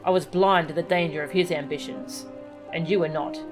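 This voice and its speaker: A woman's voice: deep voice, fairly even intonation, a little fast, over music, close to an everyday voice. A woman voicing a grave, dignified, wary settlement leader from a video game, speaking solemnly and formally. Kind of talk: reading video game dialogue aloud.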